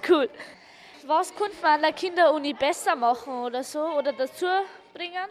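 High-pitched young girl interviewer. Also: normal speed. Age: child, about 8 to 12. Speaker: child radio interviewer. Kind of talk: informal radio interview.

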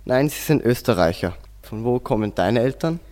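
A man's voice: mid-range voice, lively intonation, normal speed, a little mellow. A teenage boy, reading a scripted dialogue stiffly for a school radio show. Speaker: student presenter.

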